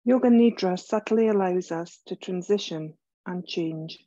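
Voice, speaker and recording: Woman, guided meditation teacher, mellow tone, unhurried, gentle, soothing, dry muffled recording. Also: fairly low pitch, no room sound. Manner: gentle, soothing, measured with pauses. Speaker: yoga nidra meditation teacher.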